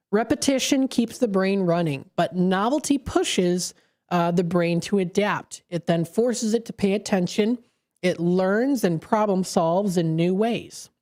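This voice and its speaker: A woman's voice: medium pitch, dark tone. A woman radio host explaining brain science in a studio, talking at length with frequent fillers and self-corrections.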